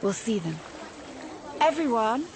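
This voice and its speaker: An actress playing a gentle woman guiding children in a radio drama, speaking in a hushed, deliberate way. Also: a little high, sing-song, heavy background noise. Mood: solemn, gentle. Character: gentle woman leading children to see Martians. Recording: music laid over the voice.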